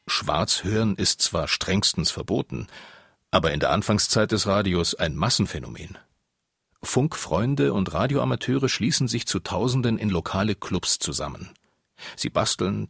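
Male documentary narrator, very low pitch, husky texture, scripted delivery, dry recording. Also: animated, normal speed, fairly bright tone, no room sound.

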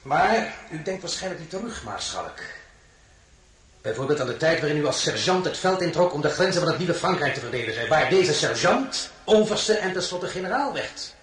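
An actor playing an imperious, mocking French emperor in a radio drama, theatrical and commanding, with pointed pauses. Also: male voice, fairly high pitch, very animated, fairly bright tone. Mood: stern, cajoling. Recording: roomy, echoing studio sound.

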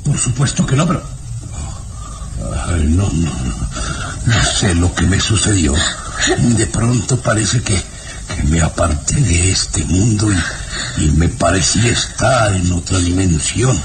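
An obsessed old baron. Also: male voice, low-pitched, lively intonation, heavy background noise. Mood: bewildered. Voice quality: slow, brooding, swings to shouting. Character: older male baron, obsessed, menacing father. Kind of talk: 1960s radio drama acting. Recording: radio drama with loud music bed.

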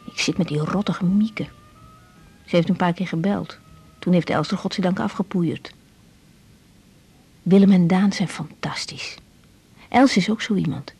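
An intense young female doctor in a radio serial. Her medium-pitched voice is a little husky, with a Netherlands Dutch accent. She speaks confidingly in short, frank sentences, caring yet insistent. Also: mellow. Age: young adult. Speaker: lead actress in radio serial. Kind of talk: 1980s radio drama, intimate monologue and dialogue.

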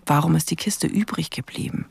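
A terse investigator in a radio crime drama, with a creaky, gravelly voice. The delivery is clipped and musing, like private notes spoken aloud, over slight recording noise. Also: medium pitch, a little fast. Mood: sober, pondering. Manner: clipped lists, musing questions. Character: terse, probing investigator going through a dead man's things. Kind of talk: radio crime drama, first-person narration.

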